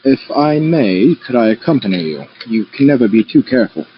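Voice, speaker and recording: A man playing a cautious, courteous adventurer in a tabletop game, with a husky voice and formal manner. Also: mid-range voice, lively intonation, a little hiss or hum, natural voice. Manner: formal, deliberate. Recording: dry, slightly muffled voice chat.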